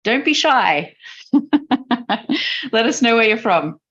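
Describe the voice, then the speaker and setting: A woman's voice: fairly high pitch, big pitch swings, extremely slow. A woman with an Australian accent, hosting a live webinar in a friendly, chatty way with fillers. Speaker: webinar host. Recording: dull lo-fi video call audio.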